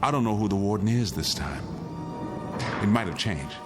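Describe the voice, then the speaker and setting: A man's voice: lowish voice, lively intonation, moderate pace, over music, close to an everyday voice. An actor playing a weary, insistent condemned man pleading his case in a radio drama. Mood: weary, resigned. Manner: insistent, pleading, arguing in rhetorical questions. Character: condemned male prisoner insisting the world is his nightmare, weary, urgent.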